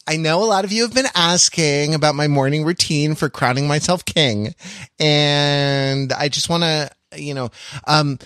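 A man chatting casually as a podcast panelist, thinking aloud in a halting way and riffing playfully. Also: on the high side, a bit bright, no room sound, clean studio sound. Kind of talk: casual chat.

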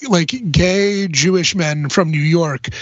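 A man explaining music informally and somewhat haltingly as a podcast panelist. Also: on the high side, no echo, muffled recording. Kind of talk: casual music discussion.

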